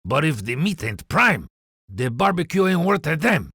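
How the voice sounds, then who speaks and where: An actor playing a short-tempered, boastful ranch pitmaster in a video game, swearing in a gravelly drawl. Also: male voice, on the high side, sing-song, moderate pace, bright, forceful tone, dry recording, studio quality, exaggerated voice.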